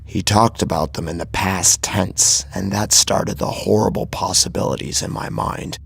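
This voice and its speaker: A man narrating a first-person horror story dramatically, with a grave, intense delivery and a husky, gravelly voice. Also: low voice, steady tone, normal speed, fairly bright tone.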